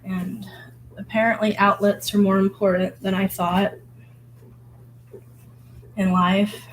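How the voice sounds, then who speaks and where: Hoarse vlogger woman, mid-pitched, loose rambling self-talk with lots of fillers, faint noise behind. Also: level tone, takes it slowly, poor audio. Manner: rambling, filler-heavy, musing. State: hoarse from illness. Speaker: vlogger painting. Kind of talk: casual reflective monologue.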